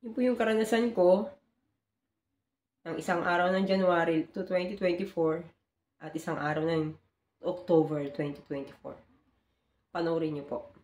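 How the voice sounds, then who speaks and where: A woman's voice: lowish voice, steady tone, normal speed, pauses often, darkish tone, echoey room. A woman in her forties, a hotel housekeeper, talking earnestly to her phone camera from a small bedroom and explaining her work step by step. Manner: earnest, explanatory, mixing in English phrases. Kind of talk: casual vlog talk to camera. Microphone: phone camera at close range.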